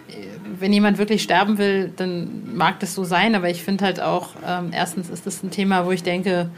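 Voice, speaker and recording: A woman interviewing in a casual, conversational way, thinking aloud with some hesitation as she talks. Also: lowish voice. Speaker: podcast interviewer. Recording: clearly noisy room ambience.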